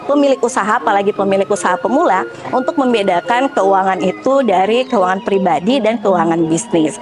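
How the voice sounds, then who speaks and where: A young woman business owner speaks in an interview testimonial, measured and thoughtful, often pausing with small fillers as she gathers her words. Her voice sits at a middle pitch, and clear background music plays under her throughout. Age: young adult. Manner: measured, with frequent hesitation fillers. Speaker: restaurant owner. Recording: music bed behind voice.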